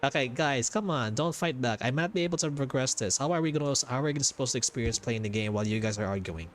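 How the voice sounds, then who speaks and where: A man's voice: medium pitch, fast talker. A man chatting casually as a game livestreamer, informal and often repeating short phrases. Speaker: livestreamer, gaming vtuber. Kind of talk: casual stream chat during game playthrough. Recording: background noise on stream mic.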